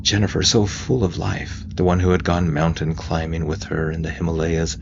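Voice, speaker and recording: A man reading scripted audiobook fiction in a dramatic, intense way with deliberate emphasis. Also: low-pitched, little pitch movement, gravelly, highs cut off. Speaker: narrator. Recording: faint noise behind voice.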